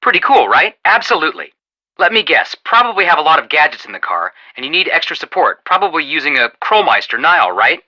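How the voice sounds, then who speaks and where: A friendly young male amateur detective in a video game. His voice is very bright and crisp-edged, with lively swings in pitch. He chats through helpful tips in an earnest, reassuring way. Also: quick pace, lo-fi sound, heavily acted. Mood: upbeat, confident. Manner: upbeat, chatty, reassuring.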